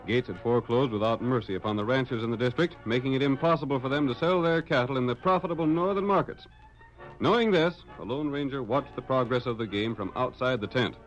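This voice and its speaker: A man's voice: medium pitch, fast talker. A man narrating a western drama from a script in dramatic 1940s radio style. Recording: muffled vintage radio recording. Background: music.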